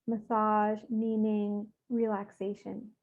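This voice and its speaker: A woman leading a guided meditation, speaking gently and without hurry, with soothing instructions and pauses. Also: mid-range voice, completely flat delivery. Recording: thin, phone-like narrow-band audio.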